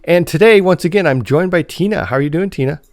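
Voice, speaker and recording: A man hosting a casual podcast chat, talking in a halting way with repeated words and restarts. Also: on the high side, no echo, studio quality. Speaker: podcast host and editor.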